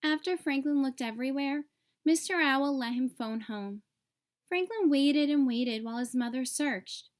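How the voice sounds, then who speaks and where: Female storybook narrator, high register, expressive read-aloud delivery for kids. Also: a little slow.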